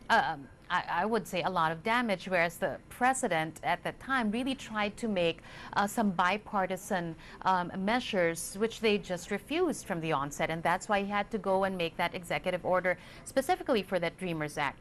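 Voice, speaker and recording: A woman's voice: medium pitch, lively intonation, moderate pace. Female TV interviewer, hesitant and filler-heavy, faint background noise. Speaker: news anchor.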